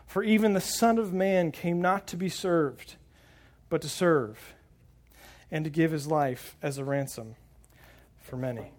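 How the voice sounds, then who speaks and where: A man's voice: a little high, unhurried, pauses often. A man preaching a sermon on a lapel mic to a congregation. He is earnest and often repeats words as he starts a thought. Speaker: pastor. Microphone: wireless lapel mic pack.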